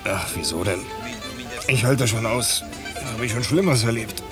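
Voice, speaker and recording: A man's voice: fairly low pitch, animated, moderate pace, natural voice. An actor playing a stoic man who downplays things in short, dismissive phrases in a radio drama. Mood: stoic pride. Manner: clipped, dismissive. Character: stoic, terse man downplaying his pain. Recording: 1990 radio drama, music behind.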